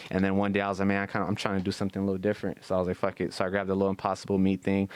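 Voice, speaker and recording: Low, smooth-voiced man chatting in casual slang. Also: monotone, racing, no room sound. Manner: slangy, profane, frequent "you know what I mean". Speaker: podcast co-host. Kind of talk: casual podcast chat.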